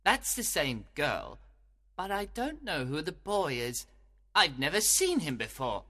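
A man voicing a mischievous, eager fairy servant in a storybook, with an animated, giggly delivery. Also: on the high side, measured pace, in a large hall, voice pushed up, exaggerated voice. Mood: puzzled. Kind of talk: storybook character voice.

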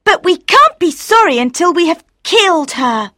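A woman voicing vengeful, unforgiving children in a storybook, with a cold, taunting, deliberate manner. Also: very high-pitched, very animated, sharp tone, no room sound, clean studio sound, full character voice. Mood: accusing.

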